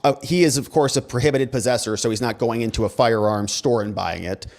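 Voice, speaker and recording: A man's voice: mid-range voice, a little fast. An American man hosting legal commentary, reading documents aloud with emphatic, conversational asides and sarcastic rhetorical questions. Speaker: host, criminal defense lawyer.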